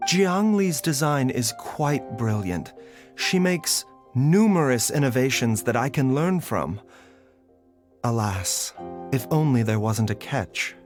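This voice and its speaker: A composed, gentle doctor in a fantasy game, weary from his healing work. The delivery is slow and even, the voice of middle pitch. Soft music plays behind him. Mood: calm. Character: calm, gentle male doctor, drained after healing. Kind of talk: game voice acting.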